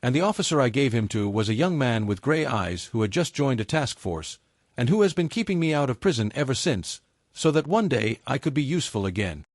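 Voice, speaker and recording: Man voicing a quiet, bitter middle-aged mafia lawyer. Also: medium pitch, very animated, no echo, clean studio sound. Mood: resigned. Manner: quiet, measured, deliberate. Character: tidy fifty-five-year-old male mafia lawyer, resigned, bitter traitor.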